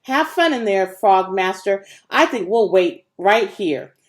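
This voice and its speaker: A woman's voice: mid-range voice, expressive, slow pace. A woman plays a cocky boy from a children's storybook. He talks in a folksy, teasing way, always ready with a joke. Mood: abrupt.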